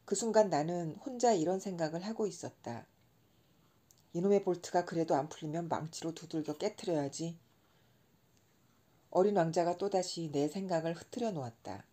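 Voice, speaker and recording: Mellow, low-voiced woman reading a storybook. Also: moderate pace. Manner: calm, gentle reading. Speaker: audiobook narrator. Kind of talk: scripted storybook narration.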